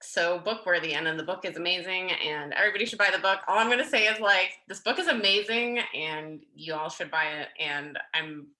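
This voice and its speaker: A woman talking casually and effusively at a live event, gushing with excitement. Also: on the low side, a little fast, hardly any pauses, reverberant, low-quality recording.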